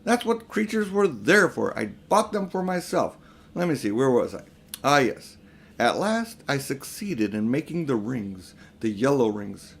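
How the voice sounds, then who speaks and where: Elderly storybook magician, male, acted by a man reader: vain, grandiose, self-important, very animated pitch. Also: measured pace, gravelly, husky, exaggerated voice. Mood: impatient. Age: older. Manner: grandiose, self-important, indignant when challenged. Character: pompous, vain, selfish elderly magician uncle. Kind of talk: storybook character reading.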